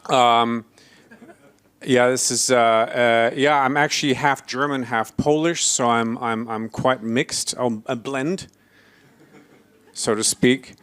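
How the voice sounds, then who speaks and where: A man's voice: medium pitch, fairly even intonation, takes it slowly, fairly bright tone, clean tone. A man in his forties from Belgium giving a conference keynote, hesitant with many fillers and restarts, cracking self-deprecating jokes. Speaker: keynote speaker. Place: conference stage before an audience.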